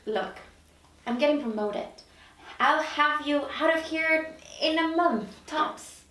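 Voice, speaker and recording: A woman's voice: high-pitched, takes it slowly, pushed and bright, exaggerated voice. Lady acting a snappy impatient career woman, script read in an echoey room, bit far from mic. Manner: curt, demanding delivery. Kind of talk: table read of a TV dramedy script. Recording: roomy recording, mic at a distance.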